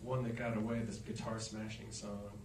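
A man's voice: lowish voice, little pitch movement, normal speed. A middle-aged man answering audience questions after a film screening, rambling and anecdotal with plenty of fillers. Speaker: actor and musician in a film Q&A. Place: cinema stage with audience. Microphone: handheld mic, recorded from far in the audience. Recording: echoey theater from far off, noisy.

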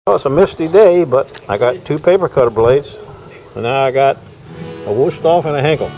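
A man's voice: medium pitch, normal speed, a little mellow, faint music behind, poor audio. An older man giving folksy, casual running commentary on his knife-sharpening work at an outdoor farmers market, recorded on a handheld camera, laughing often. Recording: faint outdoor noise.

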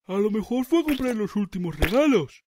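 A man's voice: high-pitched, big pitch swings, a little fast, no echo. A man voicing a naive, eager young boy in a cartoon, earnest, with a Castilian Spanish accent. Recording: loud music under the voice.

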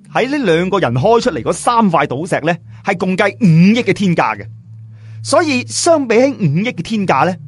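A man with a Cantonese accent narrating a story as an audiobook storyteller, in an animated, conversational, explanatory way. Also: fairly high pitch. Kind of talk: animated scripted narration with colloquial asides.

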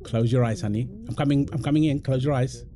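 A man's voice: medium pitch, fast talker, warm tone, slight background noise, natural voice. A British man playing an affectionate, playful husband in an intimate, naturalistic audio roleplay, talking casually and teasingly in short repeated phrases. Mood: gentle, apologetic. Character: affectionate, playful, apologetic husband, gamer with his mates.

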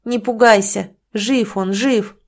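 A woman voicing a blunt, gruff village stepfather. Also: mid-range voice, fairly even intonation, takes it slowly, darkish tone. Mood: urgent. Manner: blunt, scolding.

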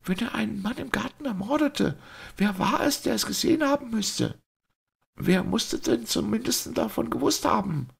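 Man doing a high young noblewoman, clear trembling tone, husky edge, firm and defiant. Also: animated, moderate pace, full character voice. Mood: scornful. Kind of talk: audiobook reading.

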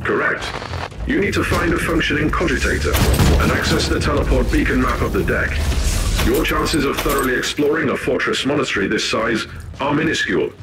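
A male actor as a smug, calculating tech priest in a science fiction game. His mid-pitched voice is precise and condescending, heard with strong echo over clear background music. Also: expressive, brisk, natural voice. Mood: precise, instructive.

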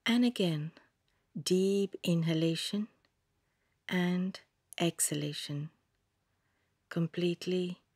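Slow, breathy woman guiding meditation. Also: fairly low pitch, dry recording, studio quality. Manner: calm, soothing, gentle instruction with long pauses. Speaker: yoga nidra meditation guide. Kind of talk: scripted guided meditation narration.

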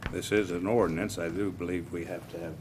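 Low-voiced male mayor chairing a council meeting, measured delivery, steady background hum on the mic. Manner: measured, reads prepared text then chats loosely. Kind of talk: formal meeting chairing and reading a proclamation. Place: council chamber.

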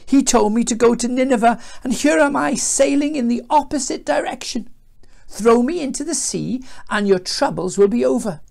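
A woman's voice: a little high, moderate pace, vocal fry, a little mellow, voice pushed up. A woman voicing a sulky male prophet in a children's story, groaning and complaining melodramatically. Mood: gloomy confession.

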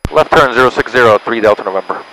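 A man's voice: mid-range voice, racing, sharp tone, rough recording. A man working as a pilot, making radio calls and explaining things to his passenger over a cockpit headset. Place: small propeller plane cockpit in flight. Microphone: aviation headset boom mic. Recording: headset intercom with engine and radio noise.

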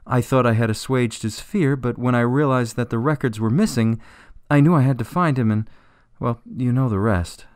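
Disgraced jailed politician for radio drama male actor, scared, flustered, pleading, mid-pitched, very dry sound. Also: moderate pace, a little mellow, clean tone, no echo, close to an everyday voice. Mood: reluctant, confessing. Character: frightened, desperate, disgraced male politician in prison.

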